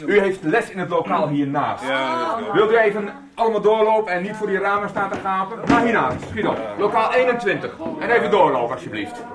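A man's voice: sits high, a little fast, a crowd in the background, natural voice. Man acting a stern, formal deputy headmaster. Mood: stern, indignant. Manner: formal, clipped, condescending. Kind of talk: 1990s radio soap acting.

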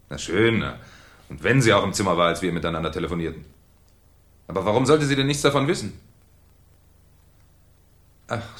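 An actor playing a shrewd, ironic French police commissioner in a 1970s German radio crime drama, with a theatrical, needling manner. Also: male voice, low-pitched, husky, full character voice. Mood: puzzled, brisk.

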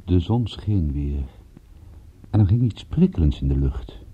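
A brooding private detective in a radio play, reluctant and world-weary. The voice is low and very husky, telling the story in a measured way. The old recording sounds thin and boxed-in, like a telephone line. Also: male voice, lively intonation, full character voice. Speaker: narrating lead actor in a radio play. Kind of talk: first-person detective radio drama narration.

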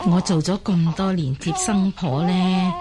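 A woman's voice: fairly low pitch, measured pace, crowd noise, lightly acted. A woman playing a chatty old midwife in a Cantonese radio drama, fussing and soothing with many interjections. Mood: fussing, reassuring. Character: chatty reassuring old midwife of a Mongol tribe.

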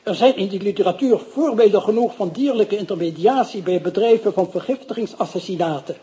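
An actor playing a fussy, pedantic, long-winded professor in a radio drama. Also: male voice, high-pitched, fairly quick, mellow, natural voice.

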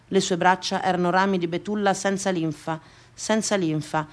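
A woman performs a dramatic scripted radio monologue, deliberate and repeating phrases for emphasis. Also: on the low side. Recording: music behind the voice. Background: music.

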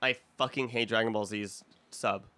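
A man's voice: on the low side, steady tone, moderate pace, bright, forceful tone, dry recording. A man co-hosting a podcast in casual group chat, speaking emphatically with opinions and plenty of swearing. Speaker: podcast co-host.

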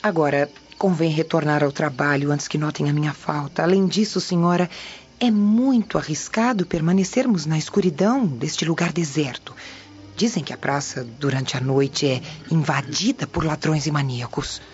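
A woman's voice: low-pitched, normal speed, darkish tone, close to an everyday voice. An actress playing a sly, deferential servant woman of ancient Rome in a radio soap opera, obliging and evasive. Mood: obedient, uneasy. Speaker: radio drama actor. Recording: dull lo-fi audio with faint music.

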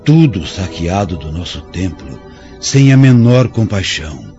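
Low-voiced, bitter rebel captive. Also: male voice, very animated, measured pace, dark tone, full character voice. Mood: bitter indignation. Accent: Brazilian. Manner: solemn, declamatory, indignant. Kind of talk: radio drama acting in a historical serial. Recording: dull lo-fi radio drama with music.

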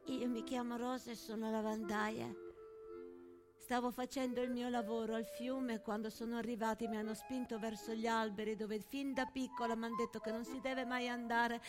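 A woman's voice: a little high, flat delivery, moderate pace, natural voice. An actress playing a desperate young laundress torn from her family, in a dramatic stage monologue. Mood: defiant, agitated. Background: accordion music.

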